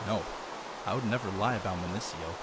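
A man chatting casually while playing a game with friends, excitable and playful, gushing with enthusiasm. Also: fairly low pitch, brisk, background noise. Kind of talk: casual gameplay chat.

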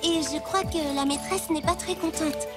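Woman voicing an earnest, very high little girl. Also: steady tone, moderate pace, natural voice. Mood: earnest reproach. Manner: earnest, gently reproachful. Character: earnest, sensible little girl of about ten. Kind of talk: French children's cartoon dubbing. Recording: loud music behind the voice.

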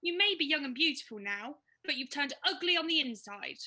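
Woman playing a very high, bright youngster. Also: lively intonation, fairly quick, heavily acted. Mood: concerned. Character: earnest, outspoken child of the pantomime mother. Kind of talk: radio pantomime acting.

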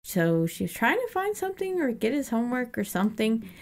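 A woman's voice: fairly high pitch, big pitch swings, fairly quick, a little mellow. Woman casually retelling a story, irreverent. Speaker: podcast co-host.

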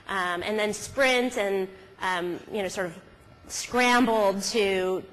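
A woman speaking as a keynote speaker at a conference, telling case stories conversationally with halting self-corrections, from a podium on an auditorium stage. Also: mid-range voice, a bit bright. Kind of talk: conference talk, conversational storytelling. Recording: hall reverb from stage sound system.

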